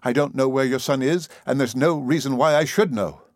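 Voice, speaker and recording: A man's voice: mid-range voice, brisk, no echo, studio quality, voice pushed up, lightly acted. A man reading an audiobook, voicing an indignant young woman who stands up defiantly to a bully. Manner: defiant, stammering under pressure.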